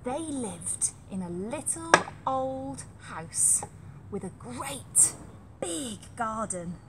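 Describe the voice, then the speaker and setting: High-pitched, very animated woman storyteller. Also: heavy background noise. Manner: animated, deliberate, with repeated emphatic phrases. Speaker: nursery storyteller. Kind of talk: expressive read-aloud storytelling for young children.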